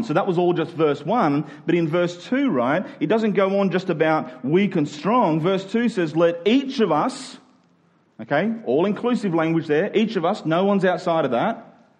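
A man's voice: a little high, a little mellow. A man preaching a conversational expository sermon as a pastor, often posing rhetorical questions and checking in with his listeners. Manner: asks rhetorical questions with check-in tags like "right?" and "okay?".

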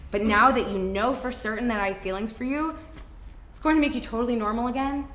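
The voice is an actress playing a wounded, frank young woman in an echoey room. She speaks emphatically in a stage play, halting on the words that carry the most feeling. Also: a little high, a bit bright, lightly acted. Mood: hurt, accusing. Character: wounded, frank twenty-four-year-old woman ending a romance. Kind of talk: live stage reading of a short play. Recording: echoey room at live reading.

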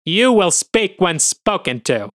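A man's voice: fairly low pitch, expressive, a little fast, sharp tone, smooth, no echo, clean studio sound. A man voicing a scrawny, ferret-like police officer who barks and sneers in an audio drama. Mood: sneering hostile. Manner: barking, sneering.

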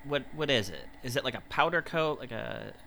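A man talks casually as a player in a tabletop role-playing game. His mid-pitched voice comes over clearly noisy ambient sound. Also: big pitch swings, brisk, pushed and bright. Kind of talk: casual in-game questioning and banter.

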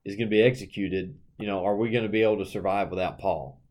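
A man's voice: fairly low pitch, steady tone, fast talker, a little mellow. A man co-hosting a casual Bible discussion, talking earnestly and conversationally with plenty of "you know"s. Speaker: Bible study co-host.

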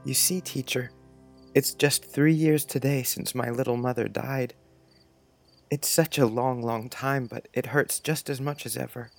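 A man's voice: mid-range voice, steady tone, a little slow, vocal fry, faint music behind. Man playing a wistful, earnest little schoolboy. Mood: grieving. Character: sensitive, dreamy, earnest little schoolboy missing his mother.

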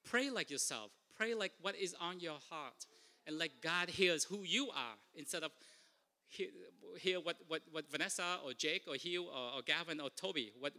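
A man's voice: a little high, bright, forceful tone. A man with a Vietnamese accent preaching a sermon as pastor, earnest, often repeating and restarting his words. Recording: long reverb of a large hall.

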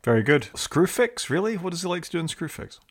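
A man's voice: fairly high pitch, big pitch swings, fast. A man co-hosting a podcast, chatting in an upbeat, self-deprecating way. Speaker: podcast co-host. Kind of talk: casual chat.

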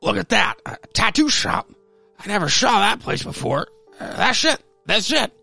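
A man's voice: high-pitched, big pitch swings, normal speed, vocal fry, bright, forceful tone, no echo, faint music behind, voice pushed up, heavily acted. A man playing a happy, dim drunk husband in a radio-play story, slurring and stammering his words. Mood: drunken excitement.

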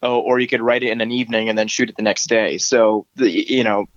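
A man's voice: on the low side, steady tone, fast, no room sound, highs cut off. A man with a standard American accent, a filmmaker guest chatting casually and animatedly, swearing now and then. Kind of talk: casual interview chat.

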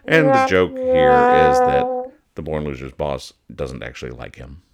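A man hosting a casual, humorous show, giving halting, mock-earnest commentary as he goes. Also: a bass voice, huge pitch swings, soft background music. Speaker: host of a comics-reading show.

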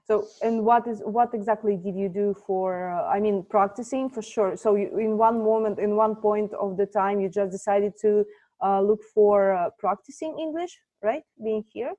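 A woman interviewing a guest in casual conversation, gentle and giving frequent encouraging murmurs. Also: mid-range voice, moderate pace.

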